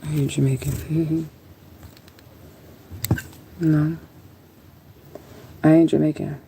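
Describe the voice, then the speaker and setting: A woman's voice: deep voice, flat delivery, very slow, warm tone, a little hiss or hum, rough recording. A woman chatting casually on a livestream and answering viewers in a rambling, laid-back, sometimes profane way. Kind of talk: casual live chat, answering viewer comments.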